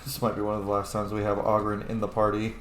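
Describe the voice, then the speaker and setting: A man's voice: fairly low pitch, monotone, fast, fairly bright tone. A man giving casual gameplay commentary as a roleplay let's-play host, slipping into asides in character. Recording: roomy echo, faint background noise. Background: game ambience.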